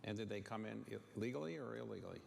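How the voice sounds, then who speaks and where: A man's voice: lowish voice, lively intonation, quick pace, vocal fry, a little mellow. A man interviewing a guest with blunt, probing short questions. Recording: faint noise in a long-reverb room.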